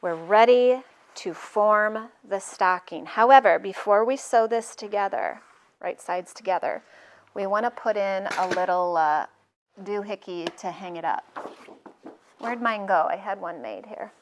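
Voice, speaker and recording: Middle-aged woman sewing on a live show, chatty and jokey, mid-pitch, laughs at her own mistakes. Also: measured pace, a little hiss or hum. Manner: chatty, self-deprecating, jokey asides. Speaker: sewing tutorial host. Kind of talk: casual live sewing demonstration. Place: sewing studio.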